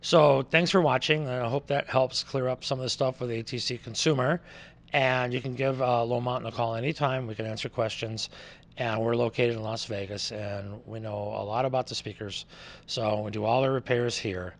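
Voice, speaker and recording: A man's voice: medium pitch, steady tone, moderate pace. A man gives an informal, conversational product overview, explaining things as a distributor would. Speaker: loudspeaker distributor presenting products.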